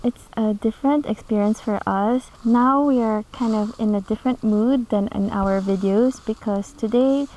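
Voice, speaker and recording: A woman's voice: a little high, moderate pace, few pauses, dark tone. A woman hosting a travel vlog, chatting casually and mixing the odd Korean word into her English. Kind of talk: casual chat on camera. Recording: noisy outdoor location sound.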